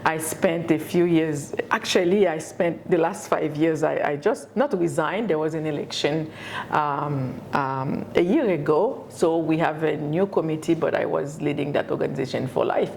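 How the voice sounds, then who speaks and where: A middle-aged woman from Haiti, an education professor, answering interview questions in a halting way with many fillers and repeated words. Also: low-pitched, gravelly, a little mellow, slight background noise. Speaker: education professor and community leader.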